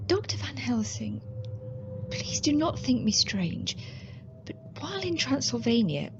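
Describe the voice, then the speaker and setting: A worried, polite young wife in a radio drama. Her voice is on the high side and swings expressively. The sound is a little dull, with faint noise behind it. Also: sing-song, a little slow. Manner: proper, earnest, emotive.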